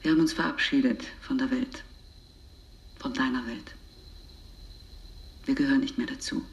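Casting: resolute, bitter underground woman, low half-whisper, deliberate pacing, roomy taped radio-drama sound. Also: fairly even intonation, a little hiss or hum. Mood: hushed, intimate.

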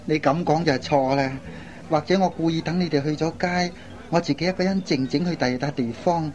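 A man's voice: on the high side, moderate pace, a little mellow, natural voice. A man acting a mild, honest young bachelor in a radio drama, with a hesitant, sighing delivery, on a lo-fi recording. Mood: teasing.

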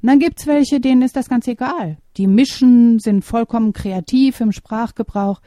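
A middle-aged woman and mother, speaking as an interview guest and teacher, giving thoughtful, measured answers with small hesitations. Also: on the high side, mellow, no room sound, studio quality.

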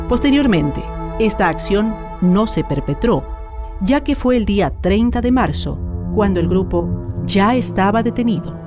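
Radio documentary narrator, scripted delivery, medium pitch, loud music bed.

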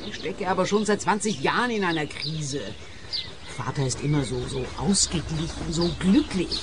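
A woman's voice: deep voice, normal speed, noisy background, natural voice. A woman acting a peevish, discontented grown daughter in a radio play, snippy and whiny. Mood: self-pitying. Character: peevish, discontented adult daughter, a cornered killer. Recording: outdoor ambience with birdsong. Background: birdsong.

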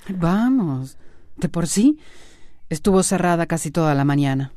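A woman's voice: lowish voice, lively intonation, measured pace, warm tone, soft background music. An actress with a Costa Rican accent playing a bold, independent woman in a radio drama. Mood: firm, urging. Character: bold, independent woman, supportive friend, guarded with her suitor.

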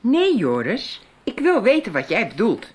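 A woman's voice: on the high side, huge pitch swings, measured pace, slight background noise. An actress playing a stern, harried mother who runs the family business, in a radio soap. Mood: worried, defensive.

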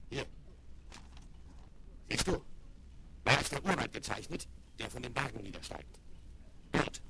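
Man playing a gravelly Western frontiersman, crackly record. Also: mid-range voice, lightly acted. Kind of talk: 1970s German radio play acting. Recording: noisy, crackly vinyl rip.

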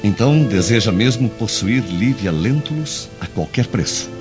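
A cautious Roman henchman, played by a man in a Brazilian radio play. The voice is low and theatrical, deferential yet very lively in pitch, with music clearly behind. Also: normal speed, natural voice. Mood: deferential, wary. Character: smooth-talking, cautious male Roman henchman. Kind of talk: Brazilian radio drama acting. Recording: muffled lo-fi audio, clear music underneath.

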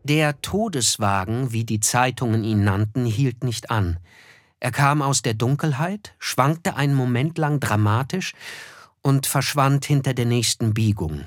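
A man narrating a radio play in a measured, reflective way. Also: medium pitch, no echo, clean studio sound. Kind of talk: scripted literary narration.

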